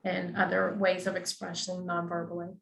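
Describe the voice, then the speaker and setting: A woman's voice: lowish voice, completely flat delivery, normal speed, gravelly, reverberant, low-quality recording. A woman speech-language pathologist explaining clinical topics in a patient, teacherly way, often checking in with the listener. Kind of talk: explanatory webinar talk.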